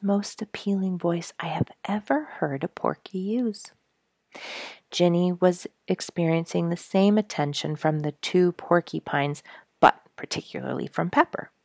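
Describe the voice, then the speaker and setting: Female narrator for family audiobook, low register with gravelly edges, gentle and earnest storytelling. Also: dry recording, studio quality. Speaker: storyteller reading a book aloud.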